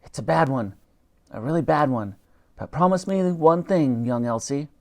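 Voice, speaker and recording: A man's voice: a little high, lively intonation, normal speed, gravelly, a little mellow. A man voicing a melodramatic old soldier who speaks in an overblown heroic tone. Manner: overdramatic, heroic tone. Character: melodramatic old male army pensioner.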